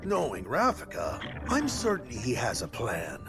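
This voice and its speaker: Patient, grave game warrior: unhurried, creaky delivery with expressive swings. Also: male voice, mid-range voice, over music, heavily acted. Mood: grave, measured. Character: grave, patient, deliberate male warrior ally. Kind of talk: video game cutscene acting.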